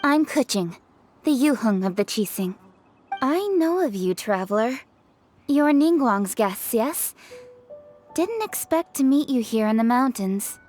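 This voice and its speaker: A woman's voice: a little high, lively intonation, unhurried, a little mellow, faint music behind. A woman voicing a confident, authoritative young noblewoman official in a video game, self-assured and formal. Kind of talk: video game cutscene voice acting.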